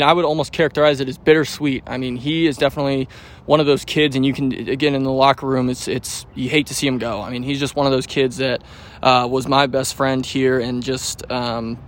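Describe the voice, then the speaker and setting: A man in his twenties, a baseball pitcher, answering pregame interview questions in a relaxed, rambling way with many fillers and restarts. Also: a little hiss or hum. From United States. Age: 20s.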